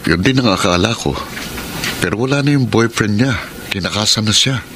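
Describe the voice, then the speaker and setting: A man's voice: lowish voice, expressive, normal speed, background noise, natural voice. An actor playing a lovelorn, devoted young man in a Filipino radio drama, speaking with earnest, poetic drama. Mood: defensive, firm. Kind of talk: Filipino radio drama acting with first-person narration. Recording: loud music bed under voice.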